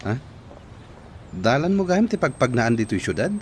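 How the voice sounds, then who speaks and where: A man's voice: mid-range voice, very animated, moderate pace, slight background noise, natural voice. A man as a cheeky, argumentative Ilocano fellow. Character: cheeky, argumentative young man bantering in the city. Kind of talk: Ilocano radio drama acting.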